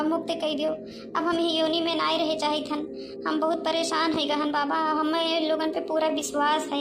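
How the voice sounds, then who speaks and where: A woman with an Awadhi accent voicing a pleading, weary witch ghost in a homemade cartoon, repeating her pleas. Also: sits high. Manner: pleading, repetitive. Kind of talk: amateur Hindi cartoon dialogue.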